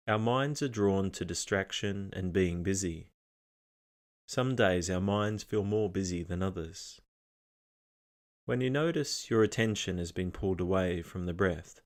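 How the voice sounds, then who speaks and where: A man narrating a scripted guided meditation, giving gentle instructions in a calm, measured manner. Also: deep voice.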